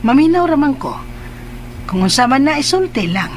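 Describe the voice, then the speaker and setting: A caring older mother in a Filipino radio soap. Her voice sits fairly high and she probes patiently for the truth. There is faint noise on the recording. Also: big pitch swings, moderate pace. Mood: probing. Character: caring, probing older mother figure, Nanay Luz. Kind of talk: Filipino radio drama acting.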